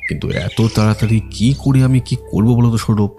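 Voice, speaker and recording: A man playing a methodical, earnest detective in an audio drama, explaining his reasoning deliberately. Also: lowish voice, steady tone, natural voice. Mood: troubled, brooding. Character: methodical male detective reasoning through a case, earnest, analytical. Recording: music behind the voice.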